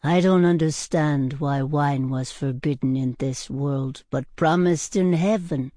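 A man's voice: on the high side, unhurried, dark tone, no echo, studio quality, lightly acted. A man voicing a cheeky, tipsy drunkard who is indignant and argumentative, in an audiobook style. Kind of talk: audiobook character acting.

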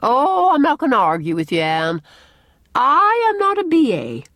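Woman reader as bossy old country matron, indignant, emphatic, swooping pitch, storybook dialogue, dry close sound. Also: wildly swooping pitch, normal speed, fairly bright tone, no room sound, full character voice. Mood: authoritative. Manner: emphatic, scolding, old-fashioned country phrasing. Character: stern, opinionated old village matron, indignant and authoritative. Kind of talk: audiobook character dialogue.

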